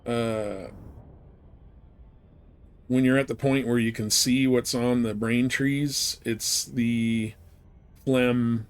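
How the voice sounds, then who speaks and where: Male streamer, mid-pitched voice, off-the-cuff gameplay talk, loosely explaining things in everyday language. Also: steady tone, extremely slow, pauses often, smooth. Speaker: game streamer. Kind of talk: casual live gameplay commentary and advice.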